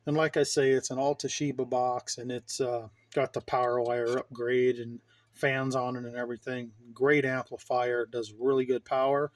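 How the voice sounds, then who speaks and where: A middle-aged man with a medium-pitched voice talks through his gear as a hobbyist. His delivery is informal and rambling, an enthusiast's casual show-and-tell for a vlog. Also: little pitch movement, moderate pace. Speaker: hobbyist vlogger showing his radio gear.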